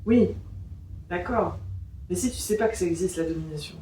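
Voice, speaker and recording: A woman's voice: fairly low pitch, moderate pace, dark tone, roomy sound, far from the mic, a little hiss or hum. A woman hosting an interview, asking frank, teasing questions and laughing often. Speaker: host, interviewer. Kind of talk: casual interview.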